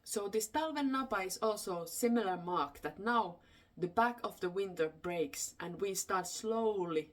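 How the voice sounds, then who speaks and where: A woman with a Finnish accent, a native Finnish speaker, telling folklore unscripted in a halting way, searching for her words. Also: low-pitched, gravelly. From Finland. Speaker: storyteller. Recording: distant microphone.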